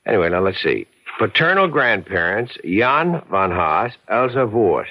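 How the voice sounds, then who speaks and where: An actor playing a mild, slightly stammering suburban husband in a 1970s radio drama. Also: male voice, on the low side, very animated, unhurried, pushed and bright, full character voice, rough recording. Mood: measured, puzzled. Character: ordinary suburban husband, mild, puzzled by a letter.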